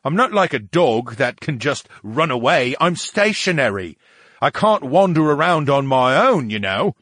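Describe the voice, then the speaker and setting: A man's voice: medium pitch, big pitch swings, unhurried, fairly bright tone, dry recording, studio quality, voice pushed up. A man voicing a needy, grumbling brain in a tank, complaining theatrically in a storybook. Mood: grumbling, aggrieved. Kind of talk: audiobook character dialogue.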